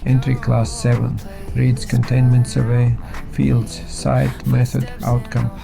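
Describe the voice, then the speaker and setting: A man plays a methodical male engineer in an audio drama, dictating records haltingly in a heavily creaky, flat voice. He stays procedural over plucked guitar music. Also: medium pitch. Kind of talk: audio fiction, dictated log. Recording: music behind the voice.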